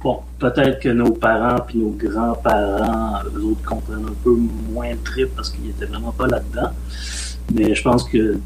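A man in his late forties with a Québécois French accent, a film director talking in a relaxed, rambling way as a podcast guest. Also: fairly low pitch, moderate pace, a little hiss or hum, poor audio. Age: late 40s. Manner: relaxed, rambling, frequent "euh" and "mettons". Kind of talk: casual podcast interview.

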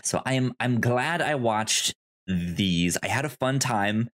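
A podcast co-host speaks in a relaxed, conversational review style. The voice sits at a medium pitch, and sentences come haltingly, with words repeated and restarted. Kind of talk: casual review chat.

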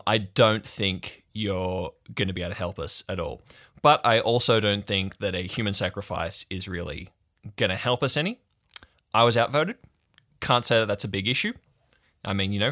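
A man's voice: fairly low pitch, pushed and bright. A man voicing a blunt, scheming girl at a summer camp, in a dry, matter-of-fact way.

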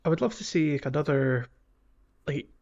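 A man chatting casually on a podcast, talking in a hesitant, halting way. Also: on the high side, animated, a little mellow, muffled recording. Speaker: podcast co-host.

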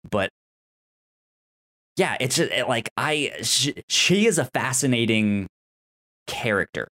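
A man co-hosting a casual podcast discussion, explaining things haltingly and stuttering on words with repeated syllables. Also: medium pitch, lively intonation, sharp tone. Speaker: podcast host.

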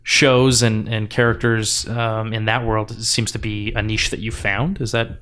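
A man hosting a casual podcast interview, asking questions in a relaxed, easygoing way. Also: lowish voice, unhurried, clear, resonant tone. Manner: relaxed, laughs easily, gentle questions. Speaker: podcast host.

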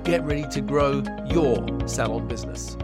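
A man's voice: medium pitch, moderate pace. A man reading a scripted, upbeat promotional podcast intro. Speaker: show intro announcer. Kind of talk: scripted intro over music. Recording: voice over loud music.

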